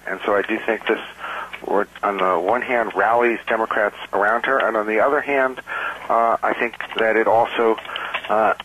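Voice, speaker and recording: A low male voice with a bright, forceful edge, heard over a conference call with faint noise. He is a strategist answering reporters, building his points deliberately with frequent hesitations. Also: rough recording. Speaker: campaign strategist.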